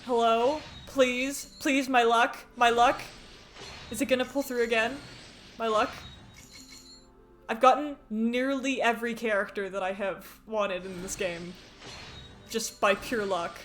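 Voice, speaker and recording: A woman from Canada, chatting excitably and rambling while she live-streams a game. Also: a little high, unhurried, pauses often, faint music behind.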